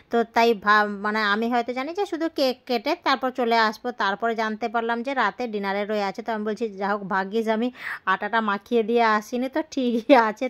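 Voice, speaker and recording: A woman's voice: a little high, fairly bright tone, smooth. A young woman, chatting casually in a rambling way as she records a daily vlog. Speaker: vlogger.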